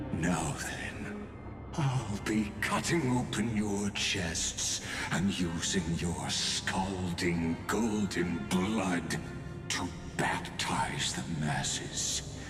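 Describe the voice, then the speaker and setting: An actor playing a cold, menacing villain commander in a video game, speaking deliberately with theatrical cruelty. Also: male voice, deep voice, reverberant, exaggerated voice. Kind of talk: scripted video game acting.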